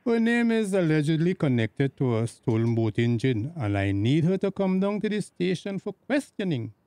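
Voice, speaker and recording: An actor playing a stern, easily exasperated village police officer in a radio drama, formal and officious, with a Tobagonian accent. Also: male voice, fairly high pitch, very animated, normal speed, mellow, smooth, no echo.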